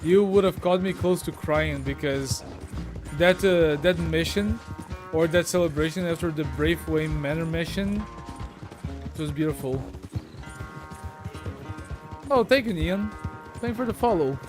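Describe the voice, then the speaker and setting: A man's voice: a little high, normal speed. A man from Brazil chatting casually with viewers while playing a game on a livestream, rambling with many fillers. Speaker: live streamer. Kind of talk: casual gameplay livestream chat. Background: game music and horse sounds.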